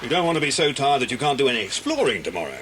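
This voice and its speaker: An actor playing a calm, protective grown man who guides children in an audio drama, with a measured, avuncular, reassuring manner. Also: medium pitch. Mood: serious, concerned. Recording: noisy old cassette dramatisation.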